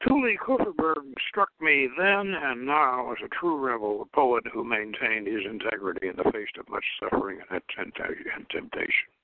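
Phone-line older male host, halting and deliberate. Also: mid-range voice, no room sound. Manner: halting, deliberate delivery with repeated words. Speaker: radio show host. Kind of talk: reading a written commentary and chatting about it on a talk show. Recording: tinny, phone-like internet radio line.

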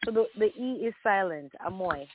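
A woman's voice: mid-range voice, animated, moderate pace, background noise. A woman singer from Jamaica, a guest on a radio phone-in, who tells her story in a bubbly way with frequent laughs. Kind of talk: casual radio interview by phone. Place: home in Toronto. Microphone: phone call. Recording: tinny phone line.

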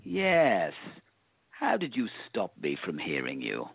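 An actor playing a haughty, indignant time-meddling villain in a radio play. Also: male voice, low voice, huge pitch swings, slow pace, vocal fry, husky, no echo, narrow, tinny audio, heavily acted.

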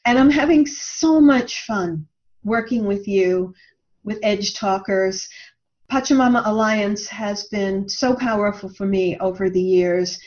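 A woman speaking as a guest author in a conversational interview, earnest and expressive. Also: mid-range voice, a little slow, lo-fi sound.

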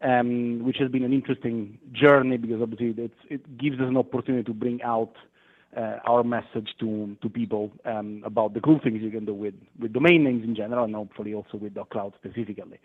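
A man's voice: mid-range voice, level tone, quick pace. A man from Italy with an Italian accent, a guest on a radio interview, speaking hesitantly with many fillers and restarts. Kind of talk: phone interview. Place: London. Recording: tinny phone line.

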